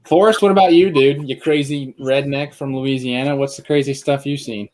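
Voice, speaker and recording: A man's voice: medium pitch, fairly even intonation, moderate pace, noisy background. A man hosting a fishing podcast in a casual, chatty style. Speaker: podcast host. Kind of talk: casual chat.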